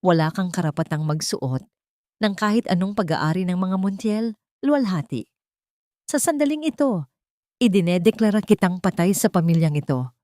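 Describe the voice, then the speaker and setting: A haughty, vindictive old matriarch, as a woman narrator plays her. She speaks in formal, imperious phrases full of venom. It is audiobook melodrama, recorded dry at a fairly low pitch. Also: normal speed, warm tone, no echo, close to an everyday voice. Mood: venomous, triumphant. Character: cruel, haughty rich old matriarch, vindictive mother-in-law.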